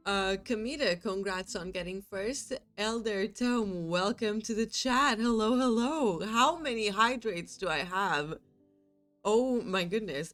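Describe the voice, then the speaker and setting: A woman's voice: medium pitch, fairly bright tone. A young woman streamer chatting casually with her viewers, her nose stuffy from a cold, rambling and laughing often. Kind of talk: casual chat with live chat.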